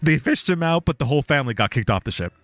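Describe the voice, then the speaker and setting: A man's voice: medium pitch, rapid-fire, no echo. Podcast guy reading stories, swears a bunch, keeps laughing, dry close sound. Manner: laughs often, swears freely, animated storytelling. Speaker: podcast co-host. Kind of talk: casual chat, reading out stories.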